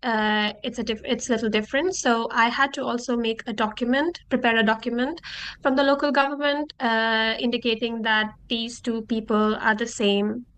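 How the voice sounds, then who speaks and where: A woman's voice: fairly high pitch, steady tone, normal speed, a bit bright, clean tone. A young woman from Nepal, a native Nepali speaker and master's student, giving tips in a friendly, hesitant way with many fillers over a video call. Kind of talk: casual testimonial.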